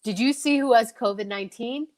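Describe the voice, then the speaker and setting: A woman's voice: on the high side, fairly quick, a little mellow. A woman co-hosting a livestream with casual banter in a playful, effusive manner. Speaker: co-host of a livestream show.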